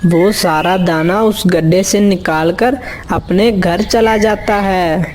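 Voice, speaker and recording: Slow-speaking woman storyteller. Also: on the low side, a little mellow, a little hiss or hum. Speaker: narrator. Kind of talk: scripted children's story narration.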